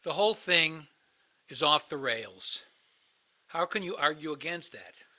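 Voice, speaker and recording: A man's voice: a little high, animated, unhurried, pushed and bright, full character voice. An actor playing a bitter, sardonic man in a radio play, blunt and sarcastic. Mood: irritated, bitter. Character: bitter, sardonic man, crisis counselor posing as suicidal caller.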